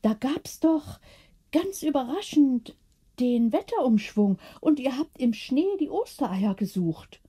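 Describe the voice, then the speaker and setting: A thoughtful storybook mother, voiced by a woman reader. She ponders aloud in a raised, mellow voice with a husky touch, explaining as she goes. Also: sits high, expressive, a little slow, voice pushed up, heavily acted. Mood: pondering. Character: thoughtful mother puzzling over the family cat.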